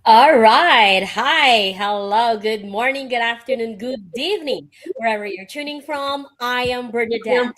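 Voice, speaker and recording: Slow, measured woman. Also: fairly high pitch, fairly bright tone. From Philippines. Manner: measured, deliberate, inspirational phrasing. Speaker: panel guest, early childhood educator. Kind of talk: prepared motivational answer.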